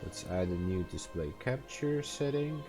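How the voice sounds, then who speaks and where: A young man with an Estonian accent speaks slowly, in a fairly low voice, at a desk microphone. He talks halting and casual, stopping often on fillers and false starts as he streams a strategy game. Faint music plays behind him. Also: lively intonation. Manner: halting, many fillers and restarts. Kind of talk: casual gameplay stream commentary.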